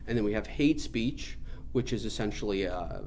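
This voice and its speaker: Earnest man teaching over clear background noise. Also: lowish voice, expressive, normal speed, darkish tone. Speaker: spiritual teacher. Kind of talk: informal spiritual teaching talk.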